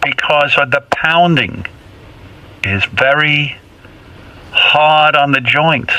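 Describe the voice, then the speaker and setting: A man voicing a fussy, nagging mother in her eighties who lectures in halting, emphatic phrases for a radio comedy bit. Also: on the high side, pushed and bright, heavily acted, poor audio. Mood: insistent.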